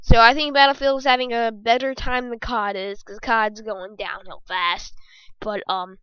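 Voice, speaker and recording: A young woman with a squeaky voice hosting a casual gaming podcast from her bedroom, rambling and joking as she talks. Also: flat delivery, moderate pace, pushed and bright, clean tone, no echo, dull audio. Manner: rambling, jokey, self-correcting. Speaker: podcast host.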